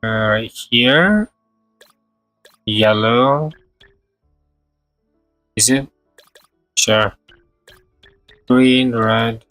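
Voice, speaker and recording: A man's voice: medium pitch, extremely slow, long pauses, a bit bright, no echo, soft background music. A man chatting casually on a game livestream, thinking aloud in short, hesitant fragments. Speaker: game streamer. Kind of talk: casual livestream gameplay chat.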